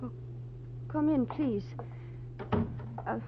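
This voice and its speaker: An actress playing a theatrical, pleading femme fatale in 1940s radio melodrama style. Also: female voice, sits high, drawn-out words, heavily acted. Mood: coy. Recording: muffled, noisy old-time radio recording with hum.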